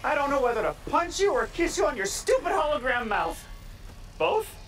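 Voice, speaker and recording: An actor playing a wisecracking, theatrical masked hacker in a video game, with an electronic voice filter. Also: male voice, extremely high pitch, big pitch swings, moderate pace, full character voice. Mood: excited, incredulous. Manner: sarcastic, theatrical, profane. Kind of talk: video game cutscene acting.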